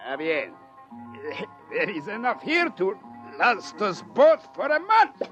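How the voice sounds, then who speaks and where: Excitable manager, slow, very animated delivery, old radio drama. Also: male voice, sits high, heavily acted. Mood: contented. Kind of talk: 1950s radio drama acting. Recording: dull vintage radio, faint music.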